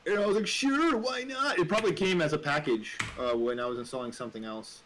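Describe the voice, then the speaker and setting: A man's voice: a little high, quick pace. A man in casual, informal conversation, given to swearing. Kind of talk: casual chat. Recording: faint noise, keyboard clicks. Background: typing and clicks.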